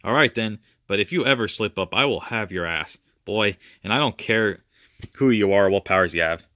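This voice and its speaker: A man voicing a stern male government enforcer in a superhero story, giving emphatic but halting warnings. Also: lowish voice, a bit bright, no echo, studio quality, natural voice. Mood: stern, threatening.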